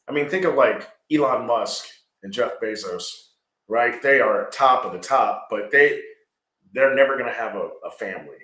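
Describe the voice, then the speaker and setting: Business-owner guest, early 40s; bright forceful tone, lowish pitch, echoey room sound. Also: male voice. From Georgia. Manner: earnest, rambling, frequent "right?". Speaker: landscaping company owner, guest. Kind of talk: casual podcast conversation.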